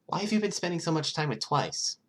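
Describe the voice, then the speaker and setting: Casting: awkward, determined teen boy voiced by a man, earnest, slightly halting, storybook dialogue. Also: a little high, very animated, voice pushed up. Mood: hesitant.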